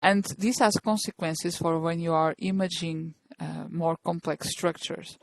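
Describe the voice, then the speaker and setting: A woman's voice: on the low side, fairly even intonation, unhurried, vocal fry, low-quality recording. A woman from Portugal with a Portuguese accent, a researcher giving an informal academic lecture in English as a second language.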